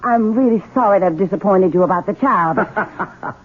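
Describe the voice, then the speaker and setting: An actress playing a scheming, imperious young Southern wife in a radio drama, with a mocking, sarcastic Southern US delivery. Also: female voice, mid-range voice, moderate pace, slightly rough, natural voice. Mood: airy, mocking. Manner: haughty, sarcastic, commanding. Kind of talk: 1970s radio drama acting. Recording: muffled, phone-like old radio broadcast.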